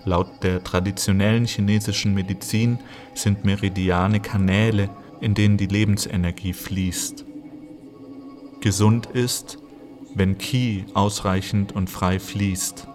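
Male narrator for audio art piece: deep, level delivery, factual recitation, music underneath. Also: fairly even intonation, a little slow. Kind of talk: scripted literary narration.

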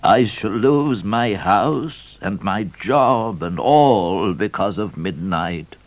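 A man voicing a fretful, gullible colonial governor in a storybook, with a pompous, drawn-out delivery. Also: on the low side, expressive, no room sound, voice pushed up. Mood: gloomy despair.

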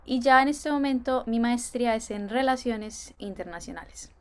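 A young woman from Colombia with a Colombian accent, answering interview questions in a thoughtful way with many filler pauses. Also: fairly high pitch. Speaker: interview guest.

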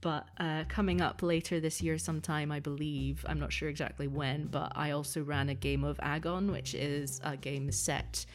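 A woman's voice: low voice, flat delivery, normal speed, hardly any pauses. A woman speaking as a podcast guest in casual interview chat, hesitant as she thinks aloud. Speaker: podcast guest, audio fiction producer and performer.

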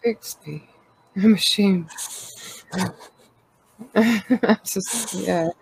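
A Canadian woman talks openly in a loose, rambling way, full of fillers and quick laughs. Her voice sounds stuffed up from a cold, with a gravelly fry running under it. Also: medium pitch, a little hiss or hum, rough recording. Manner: rambling and candid, laughs often, many fillers. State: congested with a cold. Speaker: podcast co-host. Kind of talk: casual personal chat.

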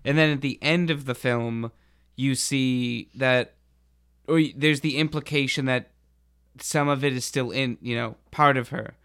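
Unhurried, clear-voiced man chatting about film. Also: medium pitch, steady tone, a bit bright, no room sound, studio quality. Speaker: podcast panelist. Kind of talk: casual film discussion.

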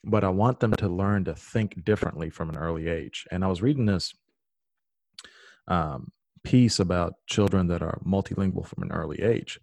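A man's voice: low-pitched, moderate pace, creaky, darkish tone, no room sound. A man whose first language is Serbian, hosting a casual conversation and explaining things emphatically.

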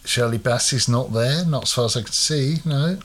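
Male host, casual and chatty, hesitant fillers, mid-pitched voice. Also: moderate pace. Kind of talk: casual chat.

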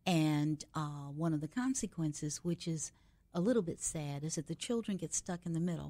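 A woman's voice: deep voice, darkish tone, no echo. A woman from the United States hosting a radio interview, chatty and reflective, adding her own stories between questions. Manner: chatty, reflective, adds personal anecdotes. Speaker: radio show host. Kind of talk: conversational interview.

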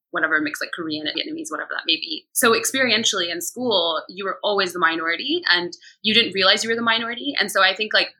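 A woman's voice: fairly low pitch, breathy edge, low-quality recording. A young American woman telling personal stories in a candid, conversational way as a podcast guest, often acting out what people said. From United States. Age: young adult. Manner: animated, quotes herself and others in anecdotes.

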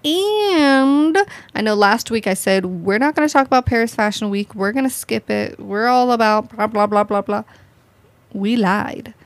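Woman podcast host, playful, jokey, slightly high voice, close dry sound. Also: normal speed, no room sound. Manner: playful, jokey, theatrical swings in voice. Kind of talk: casual chat.

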